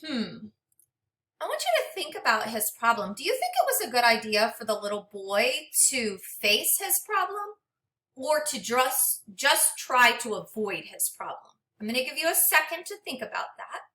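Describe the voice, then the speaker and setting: A woman reading a picture book aloud to young children like a teacher, expressively and dramatically, pausing to speak to her listeners. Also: fairly high pitch, very animated, sharp tone. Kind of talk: interactive children's read-aloud with questions to listeners. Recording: echoey room, distant microphone.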